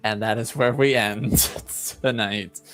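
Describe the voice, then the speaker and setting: A man working as game master, improvising narration for a tabletop roleplaying game in a halting, thoughtful way. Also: on the low side, steady tone, music in the background.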